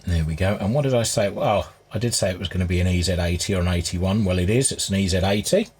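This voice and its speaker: A man with a husky voice giving casual, unscripted hands-on repair commentary, musing aloud as he works. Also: low-pitched, fast, darkish tone, slight background noise. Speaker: hobbyist restorer presenting his repair.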